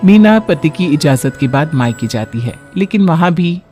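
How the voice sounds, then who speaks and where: A woman's voice: low voice, expressive, moderate pace, warm tone, faint music behind. A woman giving scripted storytelling narration for a Hindi family cartoon.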